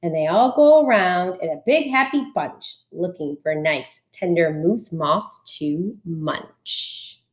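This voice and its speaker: Lady teacher reading a kids book out loud, expressive, takes her time. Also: lowish voice, slow, hardly any pauses. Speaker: schoolteacher reading aloud. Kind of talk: expressive children's storybook read-aloud.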